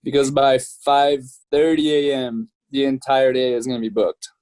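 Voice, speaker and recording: A relaxed young man from France talks through his story in a loose, chatty way, full of fillers and easy laughter. His voice sits at an ordinary middle pitch, and the recording is close and dry with no room around it. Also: normal speed, no room sound. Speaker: professional triathlete, guest. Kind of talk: casual interview chat.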